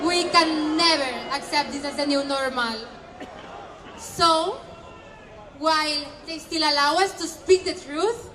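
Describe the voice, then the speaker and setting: A woman's voice: very high-pitched, bright, forceful tone, low-quality recording. A woman giving a prepared, emphatic speech at an outdoor street rally through a stage microphone. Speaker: rally speaker. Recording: live outdoor rally with crowd. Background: crowd noise.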